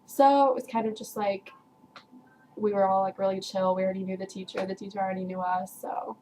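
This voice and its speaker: A teenage girl chatting away to her viewers, telling a meandering story in a mid-pitched voice. She fills her sentences with "like" and "um", and laughs easily as she goes. Faint background noise sits under the recording. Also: level tone, a little fast, dark tone, low-quality recording. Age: teens. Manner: chatty, rambling, heavy use of "like" and "um"; frequent laughs while telling. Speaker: vlogger. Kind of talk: casual storytelling to camera.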